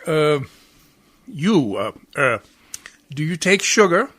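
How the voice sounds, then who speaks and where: Man acting as pious missionary reverend, slow delivery, very lively pitch, solemn, formal, radio play. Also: heavily acted. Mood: flustered worry. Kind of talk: amateur radio play acting in old Hollywood style.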